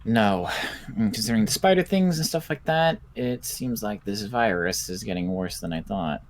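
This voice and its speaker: A man roleplaying a cautious, analytical doctor in a zombie survival game, speaking in a deliberate, musing, clinical way. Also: on the low side, expressive, close to an everyday voice. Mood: clinical, pondering. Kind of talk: improvised Minecraft roleplay.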